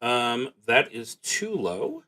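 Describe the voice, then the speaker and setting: A man thinking aloud as he narrates a solo tabletop roleplaying game, in a halting, musing, wry way. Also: mid-range voice, sing-song, bright, forceful tone.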